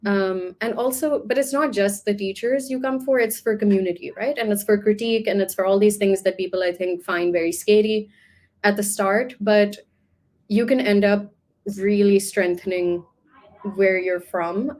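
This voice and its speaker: Woman from Pakistan, art teacher guest; thoughtful, rambling interview talk at an ordinary pitch. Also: darkish tone. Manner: reflective, talkative, many "like" fillers. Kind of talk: casual interview answers.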